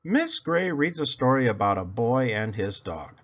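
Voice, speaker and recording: A man reading a children's picture book aloud as scripted narration, in an expressive storytelling manner. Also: mid-range voice, normal speed, lo-fi sound.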